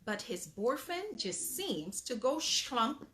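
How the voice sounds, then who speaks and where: A woman reading a children's bedtime story aloud in an expressive, emphatic storybook manner, with asides to young listeners. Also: on the high side, takes it slowly, a little hiss or hum.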